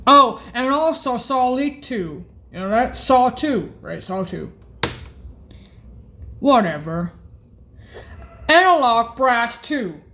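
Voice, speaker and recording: Very slow, high-voiced woman presenter. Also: animated, pushed and bright, slight background noise. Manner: halting, listing items in short phrases. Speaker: presenter demonstrating keyboard sounds. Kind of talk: naming instrument presets. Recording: roomy echo, keyboard music.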